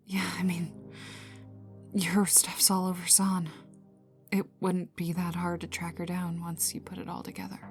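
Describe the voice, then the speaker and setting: A woman voicing a tough, bitter, sardonic young female villain in an intimate ASMR audio roleplay, often dropping into breathy half-whispers and swearing. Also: on the low side. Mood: suspicious, incredulous. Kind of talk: intimate ASMR roleplay, one side of dialogue. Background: ambient music.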